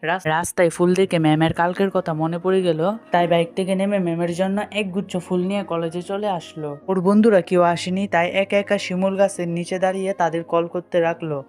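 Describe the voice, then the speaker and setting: A woman's voice: lowish voice, flat delivery, a little fast, hardly any pauses, a little mellow. A woman reading a scripted story as a narrator, with dialogue between characters. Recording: music behind the voice. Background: background music.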